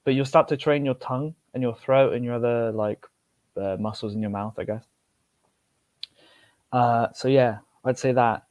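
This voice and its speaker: A young man with a British accent talks casually on a podcast, rambling and restarting his sentences. The sound is dry, with no room echo. He laughs easily and keeps his voice at a middle pitch. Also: fairly even intonation, normal speed, pauses often, a little mellow. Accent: British English. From United Kingdom. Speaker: podcast guest, polyglot.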